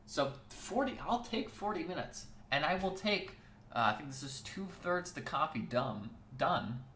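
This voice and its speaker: A man hosting a weekly casual chat show, talking in a rambling way with lots of fillers and restarts, his voice hoarse. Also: a little high, big pitch swings, measured pace, a bit bright, roomy sound, a little hiss or hum. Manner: rambling, filler-heavy, self-correcting. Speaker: host.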